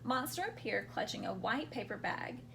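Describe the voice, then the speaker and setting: A woman's voice: medium pitch, sing-song, moderate pace, gravelly, noisy background. A woman hosting a children's storytime, reading picture books aloud expressively and explaining things simply for young listeners.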